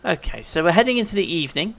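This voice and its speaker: A man's voice: high-pitched, expressive, rapid-fire, gravelly, fairly bright tone, a little hiss or hum. A man with a cold and a sore throat, chatting and thinking aloud as he commentates his gameplay at home. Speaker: let's play commentator. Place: living room at home.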